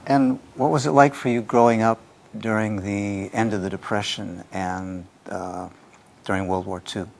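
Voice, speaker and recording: A man interviewing a doctor, asking calm, formal questions about her life. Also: on the low side, unhurried, gravelly.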